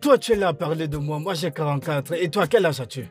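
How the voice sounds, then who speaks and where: Guy voicing a husky stuttering middle-aged trader, kinda high, faint music under. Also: quick pace, close to an everyday voice. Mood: grumbling. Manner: stammering, complaining. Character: stammering struggling middle-aged male trader. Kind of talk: French film dub.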